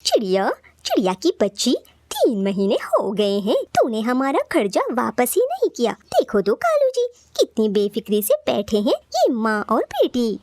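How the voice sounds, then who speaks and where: A woman's voice: fairly high pitch, huge pitch swings, normal speed, darkish tone, no room sound, studio quality. A woman voicing a rude, demanding female crow in a children's cartoon, scolding and accusing, with faint noise in the recording. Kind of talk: Hindi children's cartoon story acting.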